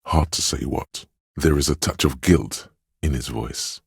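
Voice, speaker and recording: A man playing the gentle, perceptive inner voice of empathy in a role-playing game, narrating in a measured, intimate, solemn and literary way. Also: dry recording, clean studio sound, exaggerated voice. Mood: tender, perceptive. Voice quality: very deep, gravelly, husky.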